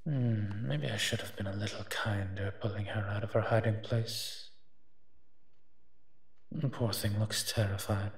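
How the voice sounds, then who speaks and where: A man playing a wry, teasing monster who partners a human detective in a roleplay audio drama, speaking with hums and pauses. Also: on the low side, fairly even intonation, breathy edge, no echo. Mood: soft, wistful.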